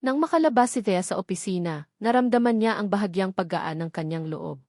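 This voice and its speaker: A woman's voice: medium pitch, expressive, fairly quick, a little mellow, no echo, clean studio sound. A woman reading a scripted story as its narrator.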